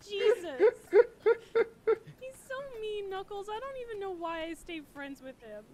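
A woman's voice: very high-pitched, expressive, measured pace, darkish tone. A woman voicing a whiny, exasperated young male fox in an improvised comedy fan dub.

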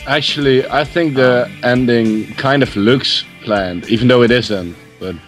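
A man's voice: medium pitch, a little slow. A young man chatting casually as a co-host reviewing things on a group video call. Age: young adult. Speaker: co-host of a track review stream. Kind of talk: casual chat, commentary. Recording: music playing behind the voice. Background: music.

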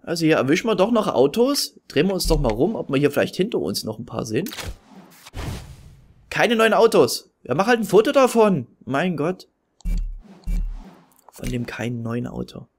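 A man's voice: on the high side, very animated, moderate pace, pauses often. A man with a regional German dialect doing casual, self-mocking let's-play commentary while racing in a game. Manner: chatty, self-mocking, rambling. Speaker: gaming video host. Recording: loud game music and engine noise behind.

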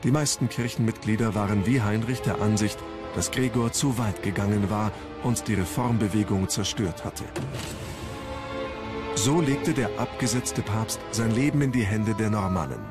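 A man reading scripted historical documentary narration in a measured, formal storytelling manner. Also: lowish voice. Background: background music.

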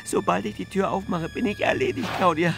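A cynical, resigned late-night radio host in a German radio drama. His voice sits fairly high, and he talks casually, with coarse sardonic bite. Music plays behind him. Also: fairly quick, gravelly. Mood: resigned, desperate.

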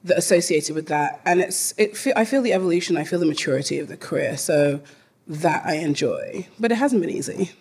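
A woman's voice: on the low side, expressive, a little fast, a little mellow, slightly rough. Laughing London woman on a stage panel. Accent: London English. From London, England. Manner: chatty and laughing often, with many fillers. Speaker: panel guest. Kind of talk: live panel conversation. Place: theater stage in front of a live audience. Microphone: handheld mic.

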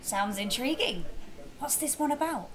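Actress as high-voiced, animated cafe server. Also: female voice, a little slow. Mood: friendly, curious. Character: friendly, curious female cafe server. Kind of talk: audio drama acting.

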